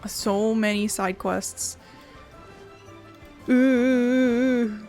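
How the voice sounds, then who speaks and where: A woman's voice: on the high side, fairly even intonation, extremely slow, a little mellow, faint music behind. A woman hosting a gaming playthrough with informal commentary, as if remarking to herself. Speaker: gaming video host. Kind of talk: casual game playthrough commentary.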